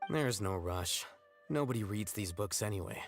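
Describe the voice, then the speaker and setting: Casting: cerebral, detached young academic in a game, lowish, dry, unhurried. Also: male voice, expressive, soft background music. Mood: indifferent. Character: aloof, cerebral young male scholar, unhurried. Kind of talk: video game character acting.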